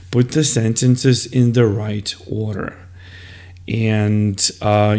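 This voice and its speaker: Slow-paced male teacher with creaky voice. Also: fairly low pitch, darkish tone. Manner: deliberate, step-by-step instructing. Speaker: teacher presenting teaching methods. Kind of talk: instructional video explanation.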